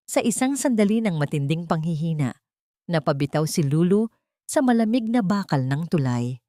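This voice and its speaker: A woman with a fairly low voice narrates dramatic fiction. Her delivery is solemn and melodramatic, in a scripted storytelling style. The recording is dry and close, with very little room sound. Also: dark tone, no echo. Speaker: audiobook narrator.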